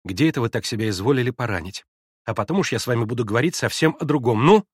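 A man reading aloud, voicing an excitable, imperious young invalid girl who gives urgent commands. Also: medium pitch, fast talker, breathy edge, no room sound, clean studio sound.

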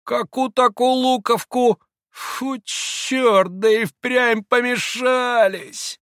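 A man reading an audiobook and voicing a spiteful young man who drawls his taunts in a shrill, mocking way. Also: fairly even intonation, drawn-out words, fairly bright tone, clear, resonant tone, no room sound, studio quality, exaggerated voice. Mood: scornful.

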